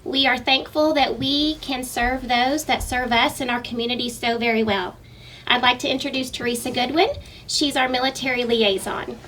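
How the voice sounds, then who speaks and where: High-voiced woman principal giving a welcome. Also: steady tone, normal speed, fairly bright tone, slight background noise, poor audio. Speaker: school principal. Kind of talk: formal welcome.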